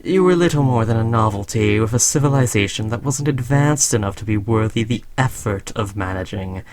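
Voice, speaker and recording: A man playing a smug, condescending alien conqueror in an audio roleplay, talking slowly to a human servant with taunting, patronizing fondness. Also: lowish voice, animated, a little mellow, lightly acted. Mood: lofty, explaining. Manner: slow, taunting, patronizing praise. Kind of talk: scripted audio roleplay addressed to the listener.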